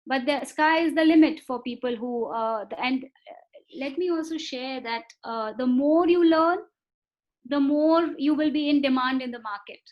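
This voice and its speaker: High-voiced woman giving a patient lecture. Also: lo-fi sound. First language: not Marathi. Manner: measured, repeats words for emphasis, frequent fillers. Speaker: webinar speaker on foreign languages. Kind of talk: explanatory lecture. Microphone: video call.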